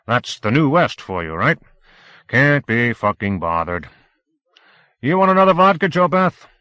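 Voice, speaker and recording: A man's voice: medium pitch, very animated, unhurried, smooth, no room sound, pitched above the performer's own voice. A man voicing a rotund, tipsy middle-aged man at a party, crude and bitter, swearing freely. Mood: jovial. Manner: loud, swearing, mocking.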